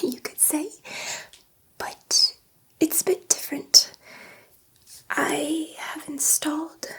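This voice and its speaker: A woman playing a gentle, curious alien scientist in an ASMR roleplay, speaking in a soft, breathy, reassuring whisper and repeating her phrases. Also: high voice, heavily acted.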